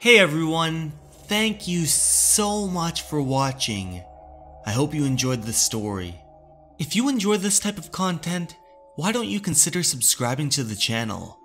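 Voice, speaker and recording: A man narrating in a measured, deliberate way, as the host of a horror story channel. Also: on the high side, sing-song, fairly bright tone, faint music behind.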